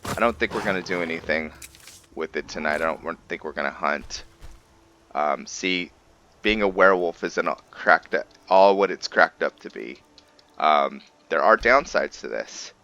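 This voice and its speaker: A man giving casual gameplay commentary and roleplay storytelling, haltingly thinking aloud as he goes. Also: deep voice, lively intonation, bright, forceful tone, dull audio. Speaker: gaming video host and roleplayer.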